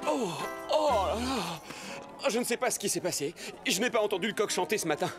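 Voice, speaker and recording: Un jeune gentilhomme insouciant, très expressif. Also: male voice, sits high, expressive, normal speed. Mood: sleepy, flustered. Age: young adult. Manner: hesitant, affably flustered. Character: carefree young gentleman, foppish, meek and easygoing. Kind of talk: animated cartoon dubbing. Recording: loud music under the voice.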